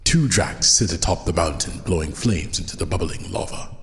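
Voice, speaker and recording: A man's voice: sits low, moderate pace. A man narrating a scripted audio drama as its storyteller. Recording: long reverb, noisy ambience behind.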